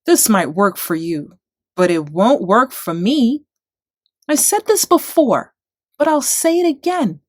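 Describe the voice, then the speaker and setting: A woman's voice: fairly high pitch, expressive, takes it slowly, no echo, studio quality. A woman voicing a tiny, spunky, fearless shepherd boy in a rhyming children's storybook, bold and declamatory. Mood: frustrated, determined.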